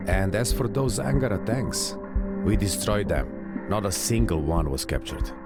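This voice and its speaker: An actor playing a grim, weary tank captain in a war game, speaking in a terse, measured and sombre way. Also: male voice, fairly low pitch, animated. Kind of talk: dramatic war game acting. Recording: voice under loud music.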